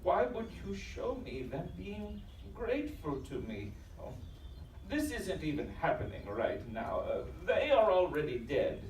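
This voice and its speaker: A man playing a guilt-ridden, defensive doctor in a live audio drama, with formal, halting, stammered speech. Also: high voice, huge pitch swings, exaggerated voice. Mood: anguished. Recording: echoey live stage, distant, faint noise.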